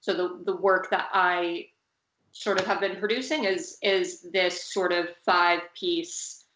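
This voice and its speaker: A woman's voice: lowish voice, steady tone, unhurried, a bit bright, echoey room, poor audio. A woman from California, a fashion designer hosting a casual live-streamed conversation, hedging with many fillers. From California, United States. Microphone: video call.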